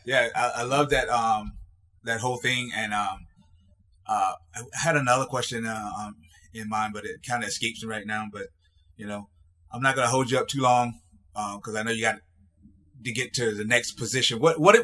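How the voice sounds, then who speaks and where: A man speaking as a coach in a casual phone chat, advising in a halting way with repeated words. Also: mid-range voice, pushed and bright. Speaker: coach, interviewer. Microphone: phone call.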